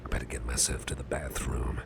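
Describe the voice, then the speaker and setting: A man playing a big, muscular but softened grumpy boss in an ASMR roleplay, speaking in a gravelly, breathy near-whisper with a gentle, slightly formal manner. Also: a bass voice, little pitch movement, noisy background. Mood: grateful, reassuring. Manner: slow, murmuring, gently reassuring, slightly formal.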